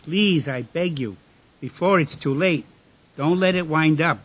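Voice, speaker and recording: A man's voice: a little high, a little slow, breathy edge, low-quality recording. A man playing an earnest, desperate whistleblower in a radio drama, solemn and pleading.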